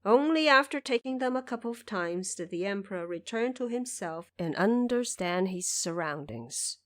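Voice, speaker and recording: A woman's voice: mid-range voice, expressive, moderate pace. A woman narrating a scripted fantasy audio drama as a storyteller.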